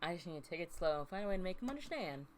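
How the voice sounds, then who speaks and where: A woman's voice: on the low side, big pitch swings, very fast, slight background noise. A young woman co-hosting a let's play, reading game text aloud and chatting with friends in a playful, giggly way.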